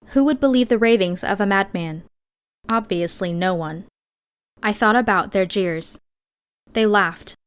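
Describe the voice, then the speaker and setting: Woman narrating a fiction audiobook. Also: medium pitch. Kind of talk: scripted first-person fiction narration.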